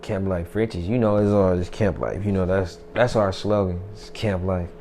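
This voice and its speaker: A man's voice: deep voice, moderate pace, mellow, slight background noise. A man in his twenties, a rapper, talking loosely and boastfully in a casual interview, with plenty of profanity. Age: 20s. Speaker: rapper guest.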